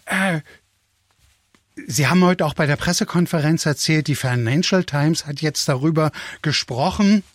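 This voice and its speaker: A man's voice: a little high, normal speed, dry recording. A man hosting an interview, friendly and asking long questions, briefly flustered by technical trouble. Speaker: interviewer host. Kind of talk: live interview moderation.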